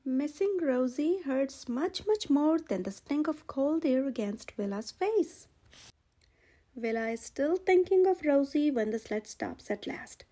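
Slow, high-voiced woman reading to children. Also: animated, mellow. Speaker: storyteller, channel host. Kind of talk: children's picture book read-aloud.

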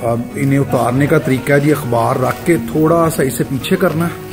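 A man's voice: mid-range voice, moderate pace. A man explaining how he grills kebabs, speaking plainly and unhurriedly and adding a polite "ji". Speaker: cook. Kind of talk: explaining cooking while grilling. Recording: loud music underneath.

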